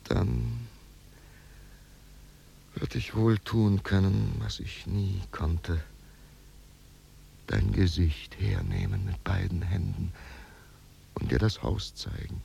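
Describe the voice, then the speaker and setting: An actor playing a wistful, brooding older man, halting and poetic, in a 1950s radio drama. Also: deep voice, fairly even intonation, gravelly, mellow, exaggerated voice. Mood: tender, wistful. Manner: halting, poetic, dropping to whispers. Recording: noisy old radio recording.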